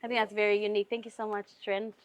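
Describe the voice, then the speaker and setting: A woman from Fiji with a Fijian English accent, hosting a casual interview with a band and asking questions with soft fillers. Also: mid-range voice, level tone, rapid-fire. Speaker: podcast host.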